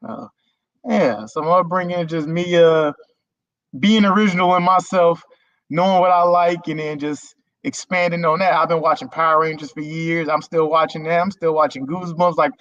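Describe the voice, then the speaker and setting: A man's voice: fairly high pitch, steady tone, normal speed, a bit bright, dry recording. A man, a content creator guest, talking casually about himself in an easygoing, rambling way over a video call. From Germany. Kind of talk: casual interview talk. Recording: dull lo-fi stream audio.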